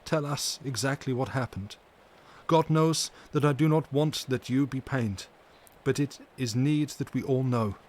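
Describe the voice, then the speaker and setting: A man's voice: medium pitch, unhurried, lightly acted. A man voicing a grave, urgent older male professor with earnest, stilted phrasing in an audiobook reading. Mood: grave urgency. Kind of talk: dramatic audiobook character reading. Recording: steady background noise.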